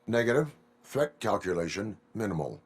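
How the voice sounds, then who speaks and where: An actor playing a stoic, rigidly lawful cyborg police officer in a video game, speaking in clipped, formal, deadpan phrases. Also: male voice, lowish voice, moderate pace. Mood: stern, flat. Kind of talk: video game cutscene acting.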